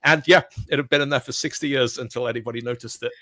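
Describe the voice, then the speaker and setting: A man's voice: medium pitch, fast, no echo. A man co-hosting a casual podcast, rambling through anecdotes and acting out quotes. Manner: rambling storyteller, animated quotes. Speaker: podcast co-host. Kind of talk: casual chat.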